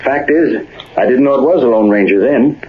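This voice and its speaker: An actor playing a simple, good-natured miner in an old radio western, talking in a plain, folksy frontier drawl. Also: male voice, mid-range voice, normal speed, close to an everyday voice. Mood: puzzled, uneasy. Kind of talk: 1940s radio western acting. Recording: tinny, noisy old-time radio recording.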